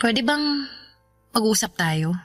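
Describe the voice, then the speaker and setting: A woman's voice: a little high, expressive, slow pace, a bit bright. An actress playing a weary, irritated young working woman in an emotional, confrontational radio drama. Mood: weary, serious. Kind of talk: Tagalog radio drama acting. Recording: music bed behind the voice.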